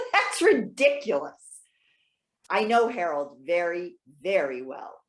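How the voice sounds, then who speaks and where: A woman's voice: fairly low pitch, huge pitch swings, takes it slowly, full character voice. A woman acting a proud, independent elderly woman in readers theater, articulate, sarcastic and defensive. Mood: defiant.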